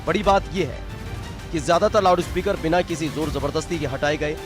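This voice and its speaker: High-pitched man narrating dramatic news over music. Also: fairly quick. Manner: punchy, emphatic delivery. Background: music bed.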